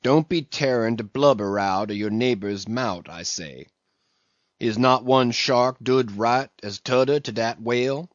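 A man voicing a sullen, limping old ship's cook who mumbles a slow sermon, on a dull lo-fi recording. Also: medium pitch, no room sound, lightly acted. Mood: preaching.

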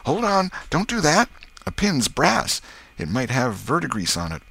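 A man voicing an excitable, superstitious young boy in a storybook, speaking a rustic dialect with whispery urgency. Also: mid-range voice, measured pace, creaky, breathy edge, voice pushed up, exaggerated voice. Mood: bossy.

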